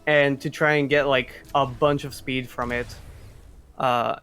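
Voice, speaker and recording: A man's voice: medium pitch, flat delivery, measured pace, fairly bright tone, smooth. A man giving casual live commentary on a speedrun, explaining as he goes in a hesitant, restarting way.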